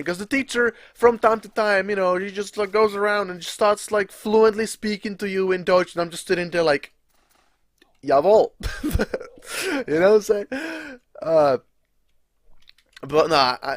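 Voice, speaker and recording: A man's voice: sits high, no room sound. A young man whose first language is Czech, rambling casually over gameplay, swearing freely and laughing at himself. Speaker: gaming video creator. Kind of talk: casual rambling live commentary.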